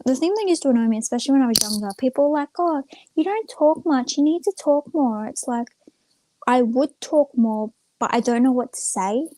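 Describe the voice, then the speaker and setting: A young woman with a somewhat high voice talks informally to the people watching her livestream. She rambles from thought to thought in a self-effacing, everyday way. The sound is dry, with very little room in it. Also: mellow, no room sound. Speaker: live streamer. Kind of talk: casual chat to viewers on a livestream.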